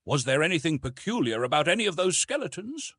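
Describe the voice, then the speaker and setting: A man voicing an earnest, intense young jungle-raised hero in an audiobook, speaking gravely and formally. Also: a little high, very animated, fast talker, fairly bright tone, dry recording, voice pushed up, exaggerated voice. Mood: grave, probing. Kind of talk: audiobook character reading.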